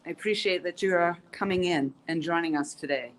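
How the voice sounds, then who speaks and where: A woman's voice: on the low side, fairly quick. A woman hosting a casual live-stream tour in an outdoor courtyard, chatty and upbeat with many ums as she greets viewers, sounding stuffy-nosed and sniffly.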